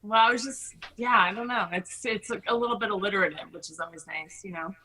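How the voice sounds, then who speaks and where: A middle-aged American woman with a very bright, forward voice. She talks in a chatty, effusive way, sharing personal stories casually as an interview guest. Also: mid-range voice, quick pace, a little hiss or hum, low-quality recording. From Hawaii, United States. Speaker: podcast guest.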